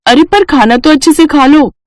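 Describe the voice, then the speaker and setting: A woman's voice: sits high, normal speed, no room sound, clean studio sound, pitched above the performer's own voice, natural voice. A woman voicing a sweet, eager young daughter-in-law in a moral story. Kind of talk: animated Hindi story dialogue.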